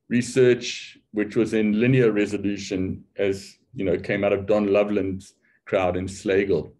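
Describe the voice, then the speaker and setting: A man's voice: medium pitch, darkish tone. Older man, South African accent, academic lecture, dry self-mocking humor, casual delivery. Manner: wry, self-deprecating humor; loose, conversational delivery with restarts. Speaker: academic speaker. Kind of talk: conference talk.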